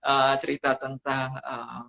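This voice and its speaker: A man from Java, Indonesia, talking as the guest in a live-streamed interview, telling stories reflectively and pausing often on hesitant "eh" sounds between phrases. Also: medium pitch, level tone, vocal fry, bright, forceful tone, dull audio. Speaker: guest, writer and photographer. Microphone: video call.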